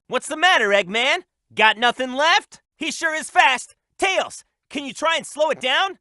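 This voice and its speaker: Game hero role: cocky young cartoon hedgehog, high and lively, confident delivery. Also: male voice, no room sound, clean studio sound, full character voice. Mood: exasperated, urgent. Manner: brisk, confident, upbeat. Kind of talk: video game character voice acting, tutorial hints and quips.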